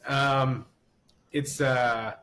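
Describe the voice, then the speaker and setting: A man's voice: mid-range voice, steady tone, extremely slow, bright, forceful tone, a little hiss or hum. A man from Canada, a surgeon and podcast guest, chatting casually over a video call with lots of ums and laughs. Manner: laughs often, hesitant with many ums.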